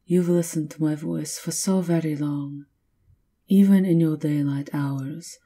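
Woman playing a low, breathy seductive vampire countess. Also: steady tone, warm tone, exaggerated voice. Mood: seductive, hypnotic. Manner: slow, theatrical, taunting purr, often dropping to whispers. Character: seductive, menacing aristocratic vampire countess, mocking and possessive. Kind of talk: ASMR roleplay.

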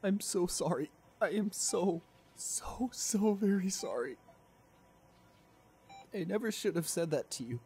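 Remorseful young boyfriend, played by a man, ASMR roleplay: slow, halting, tender, close-miked over faint background noise. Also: high-pitched, expressive. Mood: remorseful, pleading. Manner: halting, soft-spoken, emotional delivery with pauses. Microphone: close studio condenser microphone.

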